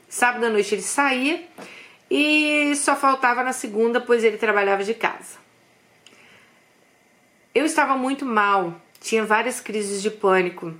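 A woman's voice: mid-range voice, a bit bright. A woman telling a personal story in the first person, confiding, with frequent asides to her listeners.

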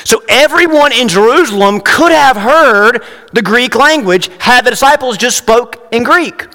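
A man's voice: very high voice, bright, forceful tone. A man preaching a conversational sermon, his voice rising with emphasis when he reads scripture. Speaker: pastor. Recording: long hall reverb.

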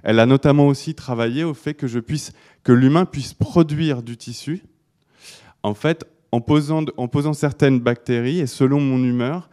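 Male speaker, warm mellow tone, halting presentation to a live audience, frequent restarts. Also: mid-range voice. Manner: hesitant, many restarts and fillers. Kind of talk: live talk on design with slides.

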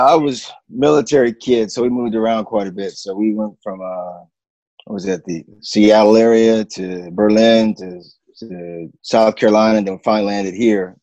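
A man, a basketball coach, talking casually in an interview, relaxed and halting with many fillers. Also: fairly low pitch, dry recording, low-quality recording.

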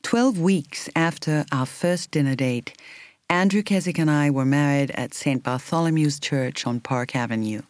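A woman reading an audiobook in the role of a reflective woman in her thirties recalling her romance, in a measured, intimate, expressive way. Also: low-pitched, no echo, clean studio sound. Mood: fond, reflective. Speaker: audiobook reader. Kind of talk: scripted first-person narration.

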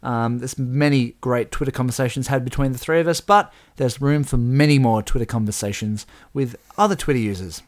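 A man hosting a laid-back podcast at an average pitch. He rambles cheerfully with restarts and little laughs. His voice sounds a touch croaky. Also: a little fast, hardly any pauses. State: slightly croaky voice. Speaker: podcast co-host. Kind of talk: casual chat.

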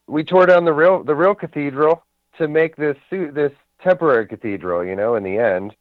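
A man's voice: a little high, animated, moderate pace, no room sound. A man who performs ministry services, musing philosophically as a guest on a radio show. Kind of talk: casual radio talk show chat. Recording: tinny phone-like radio audio, dry.

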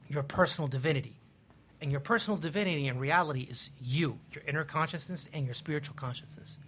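A man on a talk show panel, explaining in a measured, didactic way with examples. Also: medium pitch, fairly bright tone, a little hiss or hum.

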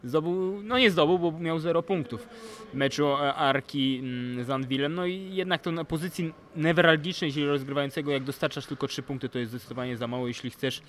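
Higher-pitched man, noisy sports podcast recording. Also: fairly quick, a bit bright. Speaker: podcast co-host and sports analyst. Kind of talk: casual basketball analysis. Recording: clearly noisy background ambience.

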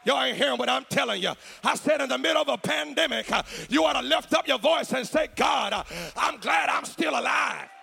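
A man preaching a fervent revival sermon in a hoarse, gravelly shouting voice, chanting in rhythmic phrases punctuated by gasped "uh"s and urging listeners to answer. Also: very high voice, brisk, hardly any pauses. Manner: impassioned call-and-response exhortation.

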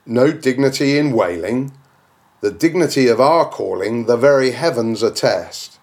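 A man's voice: medium pitch, animated, unhurried, a little mellow. A man reading a classic novel aloud as dramatic narration, in a declamatory, oratorical manner. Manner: declamatory, oratorical, with rhetorical questions. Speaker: audiobook reader.

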